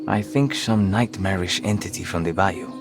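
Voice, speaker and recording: An actor playing a grave, courteous and mysterious man in a video game, speaking in a measured, formal, ominous way. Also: deep voice. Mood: courteous, cautioning. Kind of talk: video game cutscene acting. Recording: background music behind the voice.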